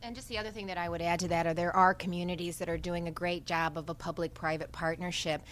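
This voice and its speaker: A woman's voice: lowish voice, steady tone, quick pace, fairly bright tone, a little hiss or hum. A woman official adding remarks at a governor's press conference.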